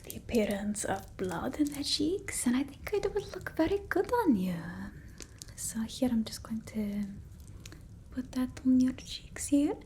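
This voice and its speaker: A woman's voice: high-pitched, a little hiss or hum. A woman voicing a fussy, condescending female vampire in ASMR roleplay, mostly whispered, close to the mic. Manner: halting, fussy, gently bossy, blunt. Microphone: close ASMR microphone.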